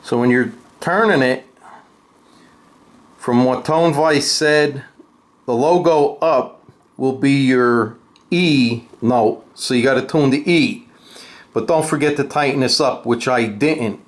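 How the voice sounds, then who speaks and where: A man hosts a casual hands-on tutorial in an unscripted, blunt way. His pace is very unhurried and his pitch sits in a middle range for a man. He explains things step by step. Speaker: host of a guitar gear tutorial and review.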